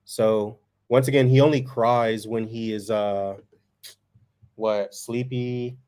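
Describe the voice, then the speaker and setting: Monotone man chatting on a vlog. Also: mid-range voice, slow. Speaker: family farm vlogger, host. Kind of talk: casual vlog chat.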